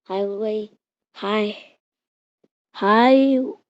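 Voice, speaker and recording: A woman reading out an improvised mock emergency alert in a halting way, repeating and restarting her words. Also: medium pitch, steady tone. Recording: muffled, roomy home recording.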